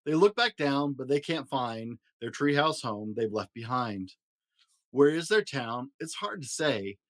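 A man hosting a story podcast, reading a rhyming children's picture book aloud in an expressive, rhythmic way. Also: mid-range voice, unhurried. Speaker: podcast host and story reader.

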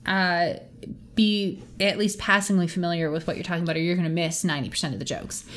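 A woman's voice: fairly low pitch, fairly even intonation, fast. A woman co-hosting a podcast in a casual, opinionated chat, with an emphatic, playfully argumentative manner. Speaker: podcast co-host.

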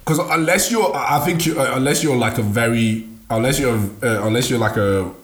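Man from nigeria, casual chat, stop-start delivery, echoey room. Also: mid-range voice. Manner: halting, many restarts and fillers, laughs often.

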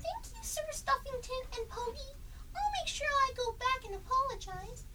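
A woman playing an earnest, wide-eyed little girl in a children's audio story, with a small, childlike, expressive voice. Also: very high voice, moderate pace, heavily acted. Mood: grateful. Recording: very noisy recording with clicks.

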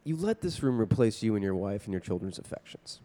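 A low, mellow, grave psychologist. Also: male voice, sing-song. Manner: deliberate, advisory. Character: grave, measured psychologist, uneasy family adviser. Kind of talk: old-time radio drama acting.

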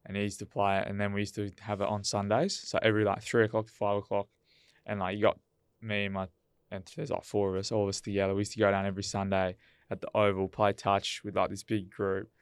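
A man's voice: lowish voice, little pitch movement, quick pace, clear, resonant tone, dry recording, clean studio sound. A man co-hosting a podcast, asking long, rambling questions full of restarts. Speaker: podcast co-host. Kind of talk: casual interview chat.

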